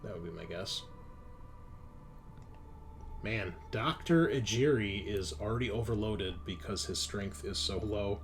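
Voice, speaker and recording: Game master, male: low voice, informal and chatty, faint room noise behind. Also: a little slow, long pauses. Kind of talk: casual chat and narrating a tabletop game.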